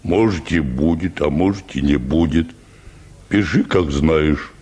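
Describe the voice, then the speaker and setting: An actor playing a stubborn, cheeky peasant choir bass in a Russian radio play, rustic, unhurried and mocking. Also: male voice, darkish tone. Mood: indifferent.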